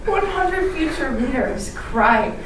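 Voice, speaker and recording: Lively high-voiced young woman, echoey hall. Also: slow pace. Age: young adult. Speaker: readers theatre performer. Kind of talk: scripted dramatic storytelling. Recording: distant, echoey hall with clear noise.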